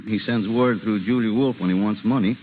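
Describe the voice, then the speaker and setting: An actor playing a fussy, harried middle-aged lawyer in a radio drama, with a hesitant, hemming delivery. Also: male voice, lowish voice, fairly quick, lightly acted. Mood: probing. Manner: hesitant, hemming, throat-clearing. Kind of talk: 1930s radio drama acting. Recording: tinny, noisy vintage radio recording.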